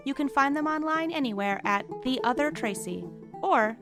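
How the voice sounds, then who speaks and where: A woman's voice: high voice, lively intonation, moderate pace. A woman reading scripted podcast credits as an announcer. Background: plucked string music.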